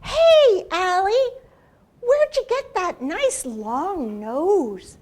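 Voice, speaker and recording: A small, fearful turtle, as a woman storyteller puts him on, speaking in a high, squeaky, whiny voice that leaps up and down. He sounds like a character from a children's storybook read aloud. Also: sing-song, very slow, darkish tone, heavily acted. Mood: curious. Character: timid little male turtle, fearful then bold.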